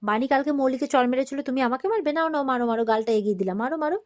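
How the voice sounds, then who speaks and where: A woman's voice: sits high, rapid-fire, pitched above the performer's own voice, natural voice. A woman voicing a spiteful, quarrelsome old grandmother in a TV serial retelling, with taunting, mock-surprised sarcasm. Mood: indignant goading.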